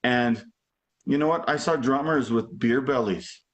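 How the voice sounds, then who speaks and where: A man's voice: mid-range voice, a little slow. Man, 40s, casual rambling chat, video call. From Alberta, Canada. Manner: rambling, full of "you know" and "like". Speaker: guest drummer.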